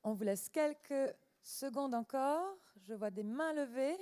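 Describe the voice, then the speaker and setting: Woman, event co-host, higher voice, mellow, brisk crowd management, long hall reverb. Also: animated. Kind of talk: hosting between talks.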